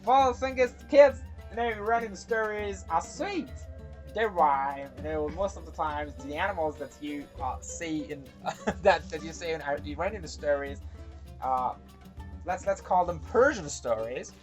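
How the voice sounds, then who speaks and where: A woman's voice: deep voice, animated, measured pace, a little mellow, faint music behind. A woman from Iran telling stories for children in a dramatic, playful way, with casual asides. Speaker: storyteller and host.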